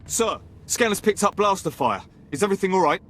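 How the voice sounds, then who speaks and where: An actor playing a dutiful junior officer in a video game, businesslike and deferential to a superior. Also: male voice, high-pitched, animated, a little fast, fairly bright tone. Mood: alert. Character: dutiful male military subordinate officer.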